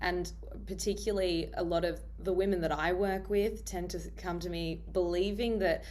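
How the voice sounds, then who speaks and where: A woman with a fairly low voice hosts an interview podcast. She talks conversationally, and there is a faint noise and hum in the recording. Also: fairly even intonation, moderate pace, a little mellow. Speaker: podcast host.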